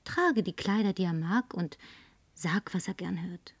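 Lonely tipsy flirt, all breathy whispers, coaxy and pushy, dry booth sound. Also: female voice, on the low side, a little slow, dry recording. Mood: flirty. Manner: coaxing, seductive, at times demanding. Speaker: advertising agency woman. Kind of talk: German film dub.

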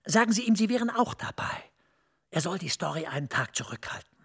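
An actor playing a calculating male EU director general, measured and authoritative, dropping into hushed asides. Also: sits high, expressive, vocal fry, breathy edge, full character voice. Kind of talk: German TV drama acting.

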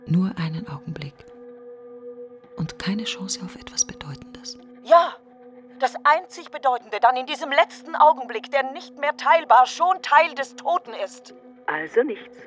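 An actress playing a grieving, fearful woman in a literary radio drama monologue, speaking in halting, deliberate fragments with a breathy, often nearly whispered voice. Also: on the low side. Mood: hushed dread, then bleak. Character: grieving, fearful, brooding woman mourning her best friend.

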